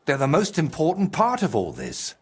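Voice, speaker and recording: An actor playing a troubled, scheming male painter in a video game, excitable and theatrical, with a husky voice. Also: fairly high pitch, normal speed, exaggerated voice. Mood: gleeful scheming. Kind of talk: video game character dialogue.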